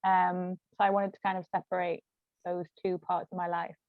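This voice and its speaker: A woman's voice: on the low side, flat delivery, normal speed, no echo, narrow, tinny audio. A woman chatting casually as an author and actor guest in an interview. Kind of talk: casual chat.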